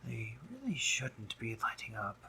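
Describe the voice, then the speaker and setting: A man playing a doting, teasing gentlemanly spider-monster painter in a romantic roleplay audio drama, with genteel phrasing, hesitant pauses and drops into whispers. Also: on the low side, wildly swooping pitch, gravelly, a little hiss or hum, heavily acted. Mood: tender, teasing.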